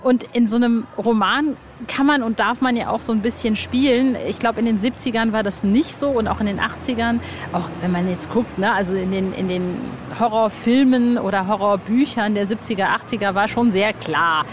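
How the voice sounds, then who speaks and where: A woman's voice: mid-range voice, normal speed, noisy background. A woman hosting a podcast chat, informal and colloquial, thinking aloud as she forms her questions. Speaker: podcast host, interviewer. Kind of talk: casual chat.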